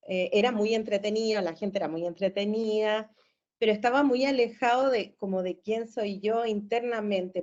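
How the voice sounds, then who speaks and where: A Chilean woman in her forties chats as a guest with a bright, easy cheerfulness, often breaking into laughter. Her talk wanders with fillers and restarts. The sound is dull and lo-fi, as over a video call. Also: mid-range voice. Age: 40s. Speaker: guest, therapist. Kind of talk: casual conversation.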